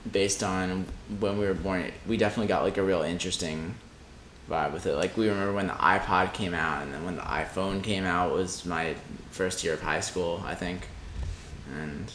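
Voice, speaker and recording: A man in his mid-twenties with a low-pitched voice, talking in a casual, reflective way. He feels his way through each thought haltingly. The recording has a clear room echo and slight noise. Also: fairly even intonation, fairly bright tone. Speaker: podcast speaker. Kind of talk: casual reflective podcast conversation. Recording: roomy echo with faint background noise.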